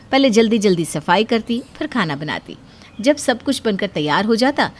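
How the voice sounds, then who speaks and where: Lady narrator for a hindi moral story cartoon, medium pitch, scripted storytelling.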